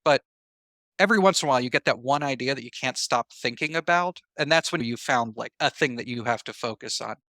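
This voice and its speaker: Guy being interviewed, bright slightly low voice, dry close sound, thinks out loud and explains with comparisons. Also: fairly quick, no echo. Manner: reflective, explains through examples and comparisons. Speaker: interview guest, writer. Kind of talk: conversational interview answers.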